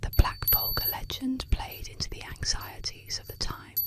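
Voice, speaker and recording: A woman narrating eerie legends as scripted ASMR in a hushed, deliberate, close-miked voice with gentle pauses. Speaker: ASMR host and storyteller. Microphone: close-up microphone.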